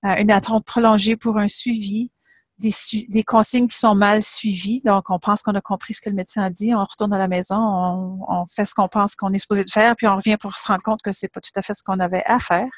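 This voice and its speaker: A woman's voice: mid-range voice, steady tone, no room sound. Woman presenting research. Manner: explanatory, measured, lays points out in order. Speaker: university professor presenting research. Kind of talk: webinar presentation.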